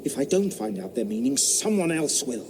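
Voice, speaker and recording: An actor playing an excitable 18th-century squire and scientist who gives urgent orders, in a radio drama. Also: male voice, a little high, moderate pace, background noise. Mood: intense. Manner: urgent, commanding, intense.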